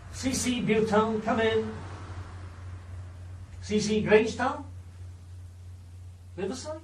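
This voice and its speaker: An actor playing a cheeky cab dispatcher and driver who keeps up teasing banter in a radio drama. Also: male voice, sits high, very slow, long pauses, husky, exaggerated voice. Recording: hissy old radio recording, room echo.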